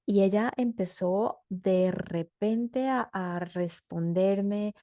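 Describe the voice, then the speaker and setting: A woman's voice: medium pitch, drawn-out words, warm tone, no room sound, clean studio sound. A Colombian woman guest in her forties, telling her story thoughtfully and searching for her words. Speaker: interview guest, mother. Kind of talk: conversational interview.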